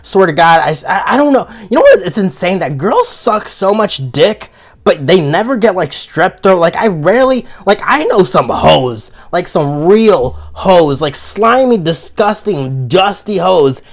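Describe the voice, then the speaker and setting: A man's voice: sits high, sing-song, a little slow. A man with a froggy, sore-throated voice from being sick, giving a blunt, profane comedic review in a casual monologue. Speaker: video reviewer.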